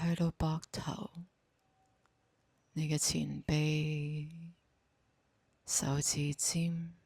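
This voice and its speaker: A woman with a Hong Kong Cantonese accent guides a meditation in a soft, breathy voice, speaking calmly and giving gentle instructions. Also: sits low, no room sound. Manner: slow, calm, gently instructing.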